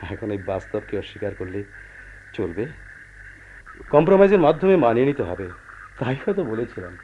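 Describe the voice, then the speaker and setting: A man acting a calm, pragmatic husband who earnestly placates his unhappy wife in a radio play. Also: lowish voice, brisk. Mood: reasoning. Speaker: radio drama actor. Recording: dull, noisy old radio drama recording.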